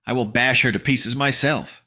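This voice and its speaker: A man voicing a cowardly teenage boy who yells in a bratty, frantic way. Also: mid-range voice, fairly even intonation, moderate pace, pitched above the performer's own voice. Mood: boastful. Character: panicky cowardly teenage boy, whiny and shrill.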